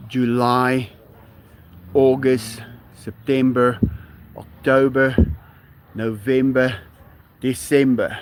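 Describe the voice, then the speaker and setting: A man's voice: medium pitch, slight background noise. A man with a South African Afrikaans accent, a native Afrikaans speaker, chatting casually on a live stream outdoors, musing as he answers viewers. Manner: musing, unhurried, reads viewer questions aloud and chuckles. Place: outdoors at sunset.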